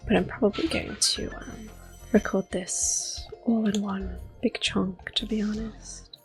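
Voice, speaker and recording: Slow, soft-spoken, whispering ASMR woman. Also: medium pitch, steady tone. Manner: gentle, hushed, unhurried. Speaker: host playing a video game. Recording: soft game music behind. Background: quiet game music.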